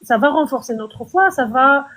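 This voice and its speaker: A woman's voice: sits high, expressive, moderate pace, fairly bright tone. A woman debating in an online live stream, earnest and insistent, often stumbling and repeating words as she makes her point. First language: Arabic. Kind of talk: heated casual debate. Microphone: online live stream call.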